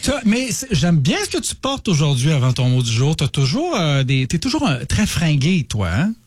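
A man's voice: fairly high pitch, sing-song, normal speed, breathy edge, no room sound, studio quality. A man with a Québécois French accent co-hosting a radio show, teasing and playful in casual banter. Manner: teasing, playful, laughs often. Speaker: radio co-host.

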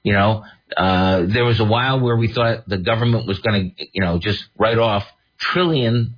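A man with a husky voice hosting his own radio show as an estate attorney, talking in a casual, animated way with mocking asides. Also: on the low side, highs cut off.

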